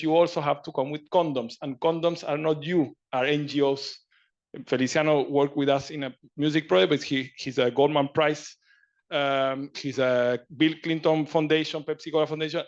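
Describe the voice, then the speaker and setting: A man's voice: on the high side, dull audio. A man from Chile speaking earnestly in a meeting discussion, often repeating and restarting his words. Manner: earnest, repetitive, searching for words. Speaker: panelist.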